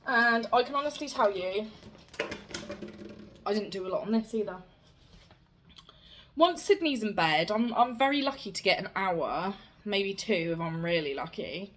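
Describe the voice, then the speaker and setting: A young woman talks in a relaxed, rambling vlog manner. Her voice carries a slight rasp. She sounds self-deprecating and easygoing. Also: mid-range voice, measured pace, pauses often. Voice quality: slightly raspy. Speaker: cross-stitch vlogger. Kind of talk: casual show-and-tell chat.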